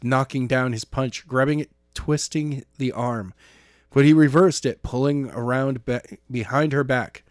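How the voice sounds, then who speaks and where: A man's voice: medium pitch, a little mellow, dry recording, studio quality. A man reading a story aloud as an amateur narrator, now and then stumbling and rereading a phrase. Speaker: audiobook narrator.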